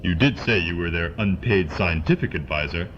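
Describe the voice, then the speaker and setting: A man's voice: low voice, normal speed, a bit bright, dull audio, a little hiss or hum. A man playing a serious, plain-spoken character in a sci-fi audio drama. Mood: concerned, annoyed.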